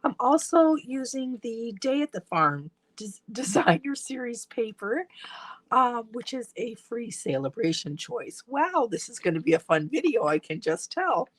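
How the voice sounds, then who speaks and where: A woman's voice: a little high, very animated, unhurried, no echo. A woman who is losing her voice gives a chatty, step-by-step craft tutorial at her worktable, talking to viewers as she works. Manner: chatty, talks to viewers while working with her hands. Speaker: independent stamping demonstrator. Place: at a craft table.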